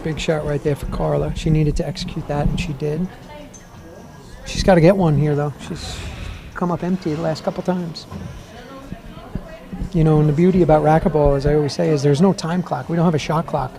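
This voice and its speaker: A man commentating live on a racquetball match, loud and chatty, breaking down each rally. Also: a little high, steady tone, a little fast, gravelly, long pauses, a crowd in the background. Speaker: color commentator.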